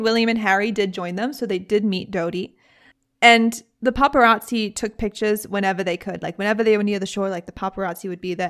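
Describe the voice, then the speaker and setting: A young British woman hosting a podcast, telling a story in a casual, chatty way with lively asides. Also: medium pitch. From Britain. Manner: chatty, animated, frequent laughs and asides. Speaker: podcast host.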